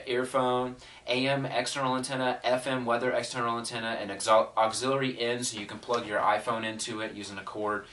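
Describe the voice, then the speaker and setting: A man's voice: fairly low pitch, bright, forceful tone, recorded from a distance. An American man giving an unscripted product review in an informal, explanatory way.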